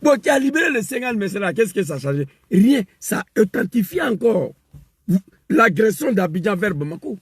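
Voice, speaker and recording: Man, gravelly, dry close sound, fiery political rant. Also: sits high, big pitch swings, moderate pace, no echo. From West Africa. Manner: emphatic, repetitive, rising to shouts. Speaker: political commentator.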